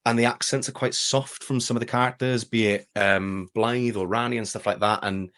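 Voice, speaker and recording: A man's voice: mid-range voice, moderate pace. A young Welsh man with a Welsh accent, a voice actor chatting as an interview guest and acting out quoted exchanges. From Wales. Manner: animated, acts out quoted exchanges with a shifting voice. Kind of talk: casual interview chat.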